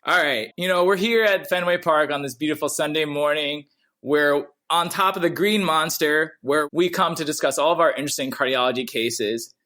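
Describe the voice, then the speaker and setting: A man's voice: fairly high pitch, normal speed, bright, forceful tone. A man in his thirties from Cincinnati, a cardiology fellow chatting upbeat and jokey on a podcast. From Cincinnati, USA. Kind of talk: casual podcast chat.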